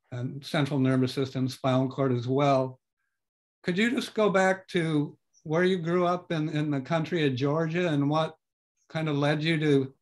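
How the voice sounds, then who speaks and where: Older male podcast host, slightly high pitch, slow and searching on a video call. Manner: slow, searching for words while asking questions. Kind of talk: interview questions. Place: home office with bookshelves.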